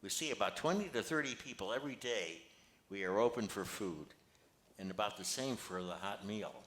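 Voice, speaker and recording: A man's voice: on the low side, expressive, brisk, a bit bright, a little hiss or hum. An older man reading the part of a genial, modest grandfather in a play, plainly and a little hesitantly. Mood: matter-of-fact. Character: genial, modest grandfather who organizes charity work. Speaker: amateur actor reading a play. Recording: echoey, roomy amateur recording.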